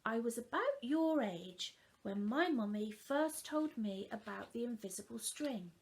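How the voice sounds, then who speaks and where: A woman reading the part of a calm, loving mother who gently and patiently reassures her young children in a storybook. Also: fairly high pitch, a little slow. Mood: soothing.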